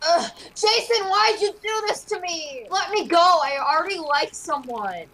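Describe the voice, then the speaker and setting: A woman voicing a frightened, whiny, protesting teenage high school girl held captive in an amateur game roleplay. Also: animated, unhurried, sharp tone, a little hiss or hum, full character voice, rough recording. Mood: pleading.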